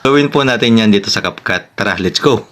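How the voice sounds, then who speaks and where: A man's voice: fairly low pitch, brisk, a bit bright, a little hiss or hum. A man with a Filipino accent hosting a casual step-by-step tutorial in a polite, conversational, instructive manner. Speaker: tutorial host.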